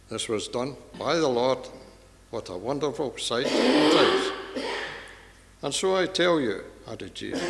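A man's voice: medium pitch, vocal fry, fairly bright tone. A man reading a Bible passage aloud in a church service. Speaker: scripture reader at a church service. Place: large church interior. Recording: reverberant church hall.

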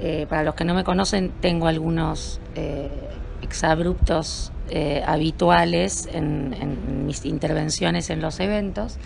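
A woman from Argentina answering interview questions at an event, speaking in a measured, self-observing and wordy way. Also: low-pitched, fairly even intonation, vocal fry, darkish tone, a little hiss or hum. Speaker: event attendee being interviewed.

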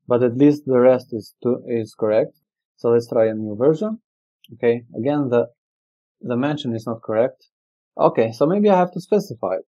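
A man whose native language is Albanian gives a relaxed screen-recorded software demo, thinking aloud with frequent fillers. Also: medium pitch, lo-fi sound. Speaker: software company presenter. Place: indoor room, webcam corner.

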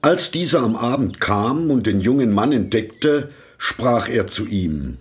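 A man narrating a scripted fairy tale in a deliberate, expressive storytelling manner. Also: mid-range voice. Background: music.

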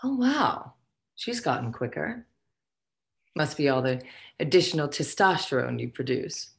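A woman's voice: low-pitched, big pitch swings, measured pace, creaky, exaggerated voice. A woman playing the imperious, condescending ghost of a socialite boss in a theatrical comic table read over video call. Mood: scornful. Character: haughty, foul-mouthed ghost of a dead socialite boss. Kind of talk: virtual table read, comic stage acting.

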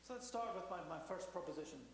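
A slightly hoarse man in his forties delivers a lecture at a brisk clip, often restarting his phrases. His somewhat high voice rings through a large echoey hall with a faint hum. It is picked up by a podium mic. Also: expressive. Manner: fast, fluent, frequent restarts. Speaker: guest speaker. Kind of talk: public lecture. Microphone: podium microphone.

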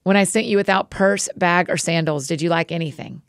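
A calm, gentle male teacher speaks with quiet authority, as a woman reads his lines. The voice sits low with a slight gravelly fry. It is a dry, close recording. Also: no room sound, close to an everyday voice. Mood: solemn. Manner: measured, solemn reading.

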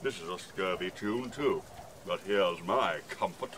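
An actor playing a drunken, blustering ship's butler in a Shakespearean comic audio play, boastful and declamatory. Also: male voice, sits low, unhurried, noisy background. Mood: grumbling.

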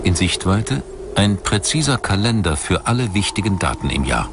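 A man narrating a scripted documentary in a measured, formal way. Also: low voice, very animated, background noise. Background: music behind the voice.

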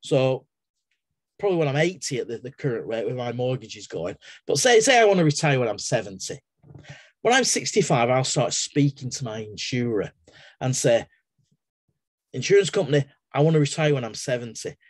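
Middle-aged English guest speaker, medium pitch, chatty and rambling, dry webcam sound from a home study. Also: male voice, sing-song, brisk, no room sound. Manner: chatty, digressive, with everyday analogies and frequent restarts. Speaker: webinar guest speaker, exam assessor. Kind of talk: casual explanatory talk in a webinar. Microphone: laptop webcam on a video call.

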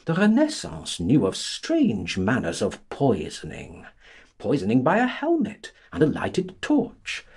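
A male audiobook narrator gives a theatrical reading of literary prose in a very husky, breathy-rough voice. His pitch rises and falls dramatically across phrases. He takes his time, delivering each clause slowly and expressively. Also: huge pitch swings, a little mellow.

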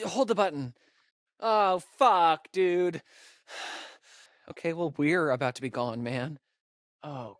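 A man's voice: mid-range voice, fairly bright tone, no echo, studio quality. A young American man playing a nervous, wisecracking guy in a horror game, with a hesitant, sardonic, slangy delivery. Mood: panicked. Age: young adult. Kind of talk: naturalistic video game acting.

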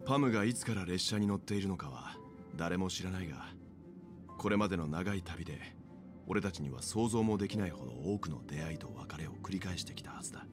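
An actor playing a mature, thoughtful male mentor in an anime game, explaining things in a measured manner. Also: low-pitched, animated, over music. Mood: gentle, reflective.

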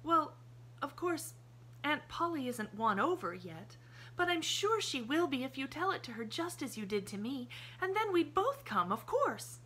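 A woman's voice: high voice, sing-song, measured pace, pitched above the performer's own voice, heavily acted. A bubbly, eager little girl. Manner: bright, breathless and guileless. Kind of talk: audiobook character voice.

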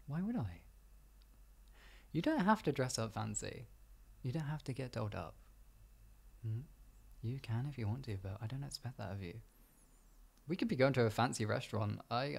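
A man playing a protective, affectionate boyfriend in an improvised ASMR roleplay, speaking in a husky, soft, reassuring and intimate way. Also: on the low side. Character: protective, affectionate boyfriend comforting his insecure partner.

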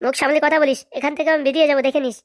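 A woman's voice: high voice, fairly even intonation, racing, no room sound, studio quality, lightly acted. A woman voicing a brash, boastful older aunt in jail who scolds and threatens in a comedy cartoon. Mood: defiant, boastful. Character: brash boastful older jailbird aunt, serial escaper, short-tempered. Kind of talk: Bengali comedy cartoon dialogue.

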